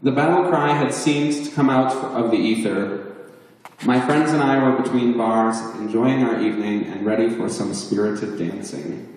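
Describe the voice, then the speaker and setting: A man's voice: mid-range voice, steady tone, moderate pace, darkish tone. Man reading aloud in an echoing chapel. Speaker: author reading at a book event. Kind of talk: live reading from a written memoir. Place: chapel, indoors, at a live book release. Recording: echoey chapel, picked up from far off.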